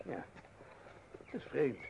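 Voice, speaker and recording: A man's voice: slow pace, close to an everyday voice. An actor playing a wary, decisive horseman in a radio drama, terse and commanding. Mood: wary, urgent. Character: wary, decisive brother on a search. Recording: dull, very noisy radio play recording.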